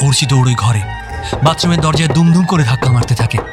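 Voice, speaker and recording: A man's voice: medium pitch, fast, over music. A man narrating an audio story in a scripted, dramatic style.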